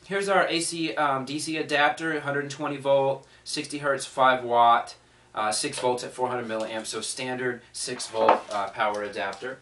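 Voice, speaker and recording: Male product reviewer, middle-pitched, casual and conversational, recorded at a distance with faint noise. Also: steady tone, moderate pace, fairly bright tone. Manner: thinking aloud, conversational.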